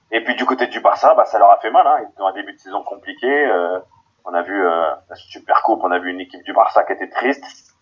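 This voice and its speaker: Low-voiced man on a lo-fi call. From Paris, France. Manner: halting, many restarts and fillers. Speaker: football guest. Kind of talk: podcast interview answers. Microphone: remote call.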